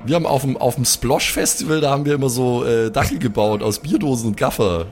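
A man plays along in an improvised role-playing game. His voice sits at a middle pitch, and he talks in loose, slangy sentences. Music plays clearly behind him. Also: fast talker, a little mellow. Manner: colloquial slangy German with English bits. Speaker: player in an improvised tabletop role-playing show. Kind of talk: casual improvised in-character chat.

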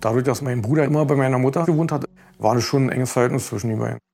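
A man's voice: mid-range voice, racing, gravelly, a little mellow. A man giving a spontaneous documentary interview as a relative, colloquial, often adding little tag questions. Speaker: interviewee, son of a crime victim. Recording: faint music bed under interview.

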